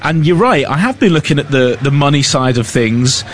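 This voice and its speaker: A man's voice: medium pitch, lively intonation, moderate pace, a little hiss or hum. A man reporting live on business news, talking loosely with fillers and restarts. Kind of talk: live news cross, conversational.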